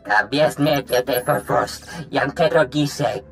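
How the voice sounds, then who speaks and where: A man voicing a sly, excitable alien in a video game, babbling in an invented tongue. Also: mid-range voice, slow pace, creaky, faint music behind. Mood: scheming. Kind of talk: video game creature voice acting.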